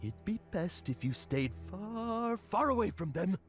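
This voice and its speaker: A half-crazed male herb gatherer in an anime-style game. He stammers in paranoid panic, his pitch swinging wildly. Faint music sits behind the voice. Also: sing-song, unhurried. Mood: hateful, unhinged.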